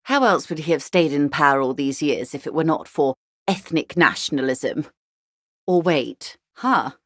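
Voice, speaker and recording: A woman playing a shrewd, wealthy older negotiator in a video game, speaking in a polished, wry, measured way. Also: low-pitched, lively intonation, no echo, studio quality. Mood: knowing, insinuating. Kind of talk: video game character dialogue.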